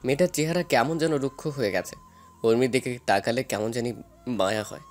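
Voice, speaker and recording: A man playing a concerned, fatherly doctor in an audio story, advising and gently urging. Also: mid-range voice, fairly quick, faint music behind, lightly acted.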